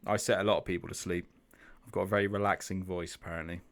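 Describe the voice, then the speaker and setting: A man's voice: sits low, little pitch movement, fast talker. A man hosting a live trading stream, chatting through chart analysis, thinking aloud with restarts and asking viewers for their views. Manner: chatty, thinks aloud, repeats words, asks viewers questions. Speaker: trading group host.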